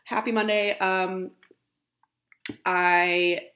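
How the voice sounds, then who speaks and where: A woman's voice: on the low side, level tone, extremely slow. A young woman teacher with a crackly morning voice, talking casually to her class with lots of ums. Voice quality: gravelly. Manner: casual with many ums, encouraging. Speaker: high school teacher. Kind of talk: recorded lesson to students.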